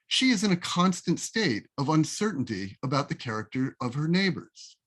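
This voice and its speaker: A man reading his own essay aloud as a literary reading, with a deliberate, wry, expressive storytelling delivery, recorded over a video call. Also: fairly high pitch. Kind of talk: literary reading, scripted first-person narration.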